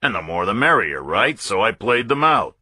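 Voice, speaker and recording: A man's voice: on the low side, big pitch swings, moderate pace, pushed and bright, breathy edge, no echo, voice pushed up, heavily acted. A man voicing a cornered Pentagon officer in a thriller audiobook, arrogant and impatient, insisting on his story in a glib, defensive way. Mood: glib, explaining.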